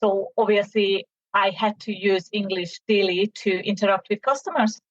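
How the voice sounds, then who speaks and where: A woman's voice: medium pitch, fairly even intonation, moderate pace, dry recording. Female podcast guest, middle-aged, Hungarian accent, informal explanatory delivery, dry and dull-sounding audio. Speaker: podcast guest, small business owner. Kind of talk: conversational interview answers. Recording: dull, band-limited audio.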